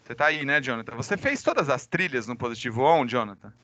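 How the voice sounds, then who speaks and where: A Brazilian man, a high school teacher with a cold, giving informal class announcements online and addressing students directly. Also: animated, fast, sharp tone, slight background noise. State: recovering from a cold. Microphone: microphone.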